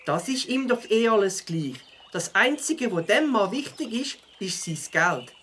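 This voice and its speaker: A woman with a Swiss German accent playing a plucky, determined child beaver in a children's audio drama, with a husky voice. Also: a little high, expressive, measured pace. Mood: defiant.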